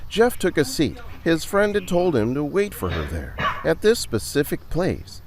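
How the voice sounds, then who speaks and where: A man narrates an audio story in a scripted, dramatic storytelling style. His phrasing is deliberate and theatrical, and his pitch rises and falls widely. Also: wildly swooping pitch, noisy background.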